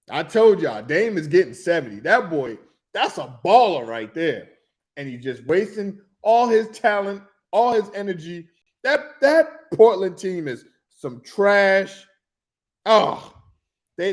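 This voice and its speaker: A man, riffing in a loose, rambling way about basketball. His voice sits a little high for a man. He gets excitable, repeats himself and drifts off topic. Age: 40s. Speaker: podcast co-host. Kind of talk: casual sports chat.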